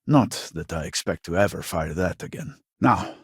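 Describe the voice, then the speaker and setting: An actor playing an earnest, exasperated scientist from a devout society in a sci-fi video game, speaking formally with a husky voice. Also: male voice, low voice, animated, no room sound, studio quality, heavily acted. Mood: cautiously hopeful. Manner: formal, measured, articulate. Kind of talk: video game character dialogue.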